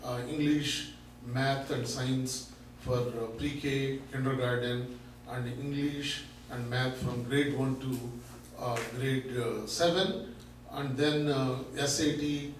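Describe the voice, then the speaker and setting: A man's voice: mid-range voice, fairly even intonation, slow. A man lectures in a big, teacherly voice, asking questions and then answering them himself. He sounds far from the mic in a very echoey room with faint noise behind him. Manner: loud, teacherly, explains with rhetorical questions. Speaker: guest speaker, learning center founder. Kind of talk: public talk to an audience. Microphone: microphone at a distance.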